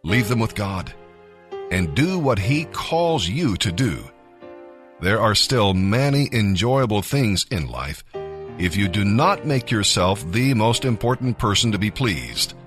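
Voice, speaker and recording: A man reading scripture as scripted devotional narration, with measured, deliberate phrasing. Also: lowish voice, big pitch swings, faint music behind. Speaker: Bible reader, narrator.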